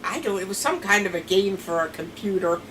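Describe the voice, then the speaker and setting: A woman's voice: medium pitch, animated, fairly quick, a bit bright. A woman in her seventies with a Pennsylvania Dutch accent, chatting and rambling through family stories as a grandmother being interviewed at home. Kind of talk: casual family storytelling. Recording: distant mic with clear background noise.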